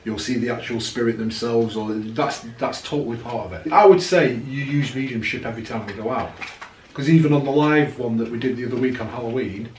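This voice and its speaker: A man's voice: mid-range voice, fairly quick, a little mellow, slight background noise. A man, hosting a casual interview and leading with encouraging questions from a living room sofa. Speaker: interviewer, paranormal channel host. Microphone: far camera. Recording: echoey room from a distance.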